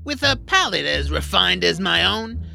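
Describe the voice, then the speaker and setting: A woman voicing a cranky, eccentric male troll cook in a family audio drama, with a gravelly voice and an excitable, grumbling, theatrical manner. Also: fairly low pitch, moderate pace, faint music behind, exaggerated voice. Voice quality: gravelly, creaky.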